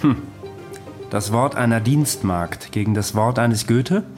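An actor playing a weary immortal man who recounts his past in a radio drama, dry and deadpan. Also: mid-range voice, a little slow, faint music behind, close to an everyday voice. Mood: dry, detached. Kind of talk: German radio drama dialogue.

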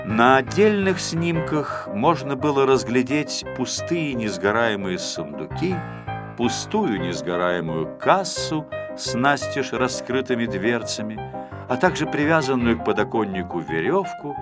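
A man reading a children's story as a scripted audiobook narrator, in an expressive, measured storytelling manner. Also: mid-range voice, loud music behind the voice.

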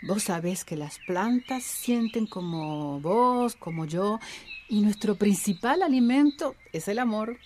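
A woman playing a gentle, affectionate neighbour who loves plants, effusive and cooing, in a radio drama. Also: medium pitch, lively intonation, takes it slowly, a little hiss or hum. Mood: cheerful. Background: garden ambience with birdsong.

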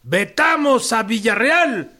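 An actor playing a stern revolutionary delegate speaking formally in a radio drama. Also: male voice, very high voice, big pitch swings, slow pace, heavy background noise, heavily acted. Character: stern male peasant-army delegate delivering a veto. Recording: loud crowd behind the voice.

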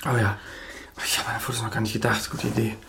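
An actor playing an anxious, confused young man who talks haltingly in a naturalistic German audio drama. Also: on the low side, little pitch movement, gravelly, heavy background noise. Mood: wry, awkward. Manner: halting, hesitant.

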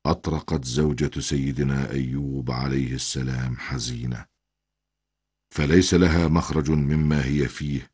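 An older man with a deep, husky voice narrating a religious cartoon story as a grandfather, solemn and formal. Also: darkish tone, no echo, clean studio sound.

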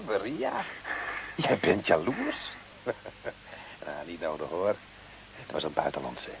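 Low-voiced, dismissive husband. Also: male voice, huge pitch swings, slow, full character voice. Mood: mocking. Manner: gruff, dismissive. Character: irritable, dismissive husband, gruff and mocking. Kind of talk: radio drama acting. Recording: dull, faintly hissy old radio recording.

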